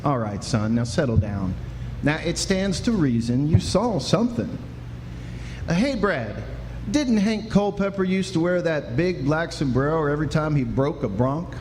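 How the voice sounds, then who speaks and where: Folksy, skeptical Old West lawman played by a male actor, slightly high voice, rustic talk, reverberant live stage. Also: lively intonation, a little slow, darkish tone, a little hiss or hum. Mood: calming, wry. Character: folksy, skeptical frontier Texan sheriff. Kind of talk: live Western radio drama acting. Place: live stage performance indoors.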